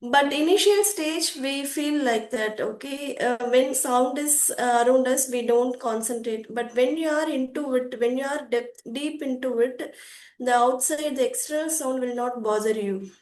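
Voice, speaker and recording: A woman with a high voice talks calmly and earnestly as a guest in a casual conversation. She laughs often between thoughts. Her voice rings in a roomy, echoing space on a video call. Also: a little slow. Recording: echoey room.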